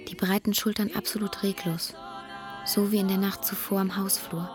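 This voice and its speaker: A woman's voice: medium pitch, flat delivery, moderate pace, over loud music, lightly acted. A woman playing a grown narrator looking back on her childhood in a literary radio drama. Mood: reflective, quiet.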